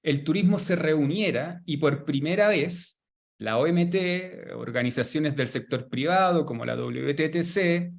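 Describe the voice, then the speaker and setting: A man's voice: fairly high pitch, unhurried, vocal fry. A man hosting a webinar, speaking fluently and steadily, introducing a study and the next speaker. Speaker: webinar host.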